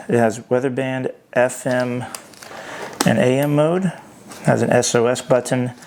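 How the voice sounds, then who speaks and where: A man giving an unscripted hands-on product review, thinking aloud in a halting way. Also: mid-range voice, vocal fry, a little mellow, slight background noise.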